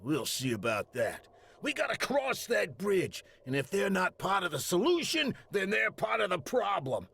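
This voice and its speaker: Gravelly wisecracking mobster. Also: male voice, sits high, wildly swooping pitch, moderate pace, heavily acted. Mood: cocky. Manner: wisecracking, tough-talking. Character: brash, sarcastic Italian-American mobster hitman for hire. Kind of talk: 1920s gangster game voice acting. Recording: loud music over the voice.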